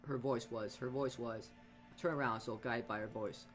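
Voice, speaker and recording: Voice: mid-range voice, fairly even intonation, moderate pace, soft background music. A gaming video host reading story text aloud dramatically and dropping in casual, blunt asides.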